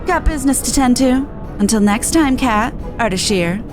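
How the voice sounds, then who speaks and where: A woman's voice: on the high side, animated, moderate pace, over music, close to an everyday voice. A woman playing a shrewd, demanding businesswoman in a fantasy game, with an assertive, knowing manner. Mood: slyly demanding.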